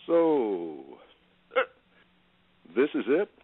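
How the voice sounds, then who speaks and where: A man's voice: mid-range voice, very animated, very slow, breathy edge, dry recording, heavily acted. A man playing a suspicious, drunk older food critic in an audio play. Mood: tipsy. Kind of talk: audio stage-play acting. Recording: tinny narrowband phone-like line.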